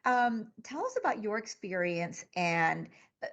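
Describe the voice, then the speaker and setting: A woman's voice: mid-range voice, big pitch swings, a little slow, fairly bright tone, highs cut off. A woman guest-hosting an interview show, effusive and full of praise for her guests. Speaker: guest host.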